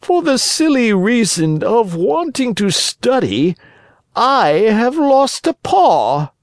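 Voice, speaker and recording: Storybook fox, high voice, sly, wheedling, falsely polite, drawling slowly. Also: male voice, very animated, dry recording, studio quality, heavily acted. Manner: slow, drawn-out, wheedling. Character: sly lame fox, falsely courteous swindler.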